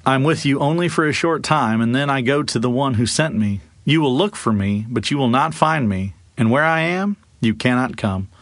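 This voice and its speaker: A man's voice: medium pitch, expressive, normal speed, few pauses, dry recording, clean studio sound, natural voice. A man voicing an earnest male teacher addressing a crowd in an emphatic, declarative way in a scripture reading. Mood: solemn.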